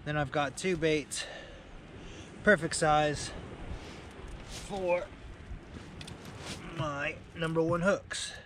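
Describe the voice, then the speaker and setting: Laid back guy doing a fishing vlog, talks slow, noisy outdoor sound, casual chat to the camera. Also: on the high side, steady tone, a bit bright. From United Kingdom. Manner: laid-back, often tags remarks with "man". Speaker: angling vlogger. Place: outdoors on a beach at night, in a small tent.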